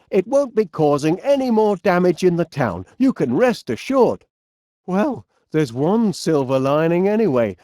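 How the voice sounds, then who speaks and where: A high-voiced, middle-aged Northern English inventor from a cartoon comedy game. His delivery swings up and down with a mellow tone, and he sounds cheerful, fussy and absent-minded as he politely bumbles along. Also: no room sound, studio quality. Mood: reassuring, chatty. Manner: bumbling, polite, musing aloud. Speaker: video game voice actor. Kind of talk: animated comedy game acting.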